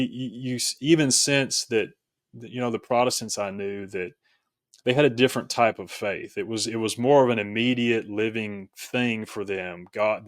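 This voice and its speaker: A man from rural Tennessee talks as a guest in a reflective interview. His voice sits fairly low and is captured dry, with little room sound. He explains things conversationally, in halting phrases. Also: dry recording. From rural Tennessee, United States. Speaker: podcast guest, author.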